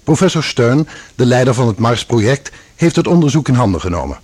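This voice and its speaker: A man narrating a scripted radio drama with a husky voice. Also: mid-range voice, very animated, a little fast, darkish tone.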